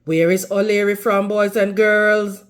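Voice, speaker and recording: A woman's voice: mid-range voice, little pitch movement, unhurried. A woman reading a storybook aloud to young children in a teacherly manner, prompting her listeners with questions.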